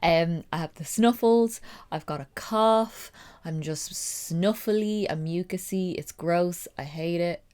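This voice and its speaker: A woman's voice: lowish voice, takes it slowly, darkish tone, smooth. A young woman with a stuffy, nasal voice from a cold, chatting casually through a makeup tutorial. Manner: chatty, rambling, upbeat. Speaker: makeup vlogger.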